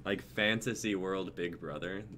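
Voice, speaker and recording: A man's voice: low voice, normal speed. A young man hosting a livestream in casual sign-off chat. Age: young adult. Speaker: livestream host. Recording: background noise, livestream audio.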